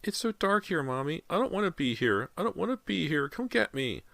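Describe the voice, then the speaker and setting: A man's voice: on the high side, big pitch swings, brisk, creaky, fairly bright tone, no room sound, pitched above the performer's own voice, full character voice. A man doing a tiny frightened little boy. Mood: frightened pleading. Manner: pleading, whimpering.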